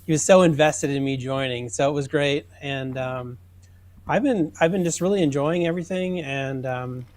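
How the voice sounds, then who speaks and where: A man's voice: fairly high pitch, normal speed, a little mellow. A man chatting casually as a guest on a podcast, talking as a sponsor and hobby angler. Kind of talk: casual chat.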